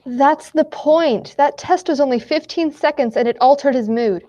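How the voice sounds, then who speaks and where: A woman's voice: high-pitched, moderate pace, mellow, dull audio, lightly acted. A woman playing a cautious, worried young scientist in an amateur radio play, earnest and warning. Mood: concerned.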